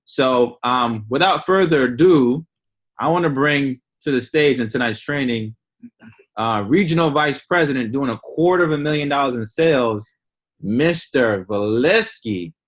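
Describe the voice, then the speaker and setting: A man's voice: mid-range voice, measured pace. A man hosting a sales training call, formally introducing the next speaker. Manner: formal, announcing.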